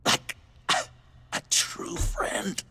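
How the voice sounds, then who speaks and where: An actor playing an unhinged, lovesick villain in a video game, halting and theatrical, with a breathy, gravelly voice. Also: male voice, bright, forceful tone, exaggerated voice. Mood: wounded, pleading. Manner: halting, theatrical, breaking into laughter. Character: unhinged, lovesick male villain, wounded and bitter.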